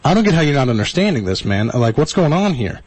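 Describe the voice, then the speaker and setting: A man's voice: mid-range voice, big pitch swings, fast talker, a little mellow, breathy edge, dry recording. A man hosting a live call-in radio show in a folksy, exasperated way, often repeating himself.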